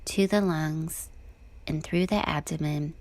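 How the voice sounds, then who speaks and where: A woman with a soft, breathy voice guides a body scan meditation in a calm, gentle, unhurried manner. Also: sits low.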